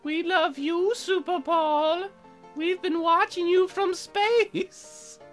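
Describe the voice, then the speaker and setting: A man voicing adoring stars from space in a high falsetto for comedic game commentary. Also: steady tone, slow, a bit bright, soft background music, exaggerated voice. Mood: sweet, adoring.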